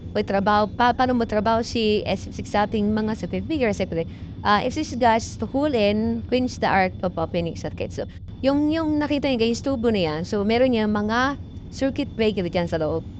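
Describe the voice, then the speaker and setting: A woman with a Filipino accent gives an informal technical tutorial as a vlogger, explaining in a halting, repetitive way with English technical terms mixed in. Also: medium pitch. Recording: muffled lo-fi audio with background noise.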